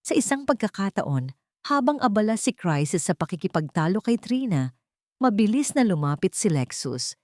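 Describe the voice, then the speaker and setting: A woman's voice: mid-range voice, sing-song, moderate pace, darkish tone, no room sound, studio quality. A woman narrator reading a scripted story in a dramatic style.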